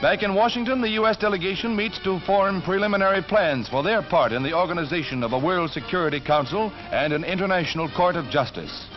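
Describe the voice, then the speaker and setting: A 1940s newsreel soundtrack, muffled and backed by prominent music, carries an American man's narration. His voice sits high and swings widely in pitch. He delivers the script with emphatic, dramatic announcer punch. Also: fast talker, hardly any pauses. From United States. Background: orchestral music.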